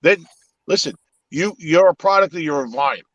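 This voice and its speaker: A man's voice: a little high, animated, brisk, dry recording, muffled recording. A man whose first language is Italian, talking in a casual, reflective way on a live podcast, with many restarts. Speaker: podcast host.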